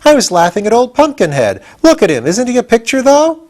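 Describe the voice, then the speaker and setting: A young storybook boy, played by a male reader, plucky and cheeky. He protests readily in a raised, higher pitch. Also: huge pitch swings, fairly quick, darkish tone, heavily acted. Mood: amused protest.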